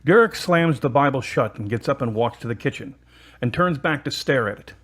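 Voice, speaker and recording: A man's voice: medium pitch, expressive, fairly quick, a bit bright. A male narrator reads scripted story passages for an audio drama. His voice sits in a middle register.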